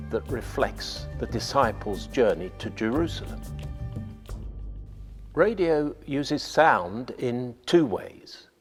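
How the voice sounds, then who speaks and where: A man delivers scripted instruction at a slow, deliberate pace. His pitch moves expressively to stress key phrases, and the sound carries a long reverb, as in a hall. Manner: measured, deliberate, emphatic phrasing. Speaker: radio playwright giving writing advice.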